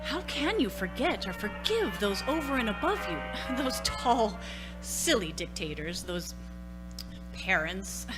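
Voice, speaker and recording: Actress as anxious housewife, slow and lilting. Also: female voice, mid-range voice, very animated, loud music behind the voice, low-quality recording. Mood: brooding. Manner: hesitant, faltering, theatrical. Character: anxious 1940s-style suburban housewife and mother. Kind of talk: stage radio-play acting.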